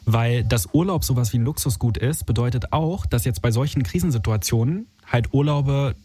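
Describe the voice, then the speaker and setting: A man's voice: mid-range voice, moderate pace, dark tone, husky. A man in his twenties from Berlin leading a casual conversation, chatty and informal with youthful slang. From Berlin, Germany. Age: 20s. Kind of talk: casual interview chat.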